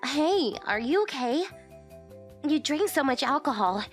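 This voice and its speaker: A woman voicing a poised, direct young company president in an anime-style manga dub, composed and expressive. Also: high voice, a little slow, soft background music. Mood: gentle, teasing. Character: poised young female company president, curt at work, softer privately.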